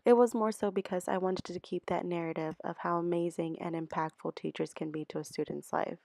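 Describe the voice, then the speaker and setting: A woman's voice: on the low side, fairly even intonation, a little fast, gravelly, warm tone, dry recording. A woman in her twenties from El Salvador, earnestly reading a scripted narration about teaching. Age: 20s. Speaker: student teacher. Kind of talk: scripted narration for a class video.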